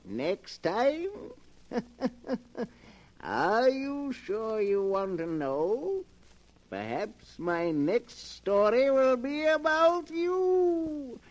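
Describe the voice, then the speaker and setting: An actor playing a sinister keeper of a book of horror tales, narrating with theatrical menace and ghoulish relish in 1940s radio style. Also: male voice, very high voice, very animated, very slow, heavily acted. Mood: teasing, menacing. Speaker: horror show host. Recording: noisy old-time radio recording.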